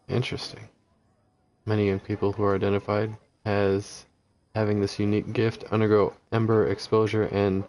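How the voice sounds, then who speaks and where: Quiet, low-voiced man streaming a game. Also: slight background noise, poor audio. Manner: quiet, musing, stumbles over unfamiliar names. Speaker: livestreamer playing a video game. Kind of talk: casual live-stream commentary, reading in-game text aloud. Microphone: headset with noise gate.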